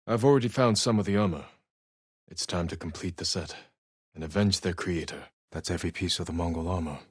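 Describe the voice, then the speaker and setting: A determined samurai warrior from a video game, speaks in a low, grave voice with a faintly rough grain. The delivery is solemn, measured and terse, recorded close and dry. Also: male voice, dry recording. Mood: solemn, resolute. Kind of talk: dramatic game character dialogue.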